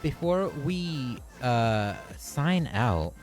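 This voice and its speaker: A man's voice: mid-range voice, very animated, extremely slow, faint music behind. A man hosting a livestreamed race broadcast, chatting informally and appreciatively as he interviews drivers and wraps up. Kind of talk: casual livestream post-race interview and sign-off.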